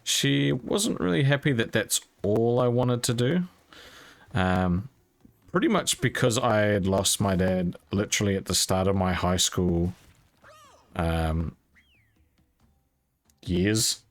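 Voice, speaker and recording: Low-voiced young man, close dry sound. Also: slow pace, long pauses, dry recording. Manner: candid, informal, swears casually. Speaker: YouTube content creator. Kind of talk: casual rambling storytelling over gameplay.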